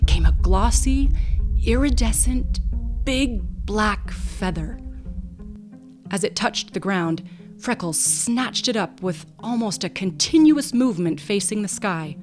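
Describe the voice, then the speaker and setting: A woman narrating a literary story from a script, expressive and measured, with a dramatic storytelling delivery. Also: medium pitch. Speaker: audiobook narrator.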